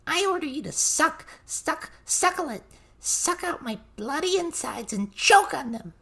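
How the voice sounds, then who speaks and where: A woman voicing a tiny, foul-mouthed, furious goblin in an audiobook, screeching crude drawn-out insults. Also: high-pitched, sing-song, gravelly, a bit bright, heavily acted.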